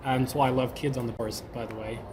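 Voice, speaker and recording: A man's voice: medium pitch, fairly even intonation, fast, over a noisy crowd. A man in his twenties from Sweden, a podcast guest talking casually and enthusiastically. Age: 20s. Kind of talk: casual interview chat.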